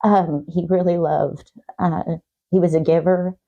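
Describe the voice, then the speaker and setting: A middle-aged woman giving personal answers as a talk show guest, in a halting, reflective and earnest way. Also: lowish voice, fairly even intonation, narrow, tinny audio. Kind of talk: personal, conversational interview answers.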